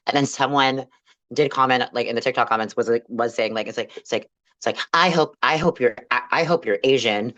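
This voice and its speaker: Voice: medium pitch, fairly bright tone. A panelist in a casual chat who rambles through a story full of "like"s and restarts. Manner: rambling, heavy on fillers like "like". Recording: dull lo-fi audio.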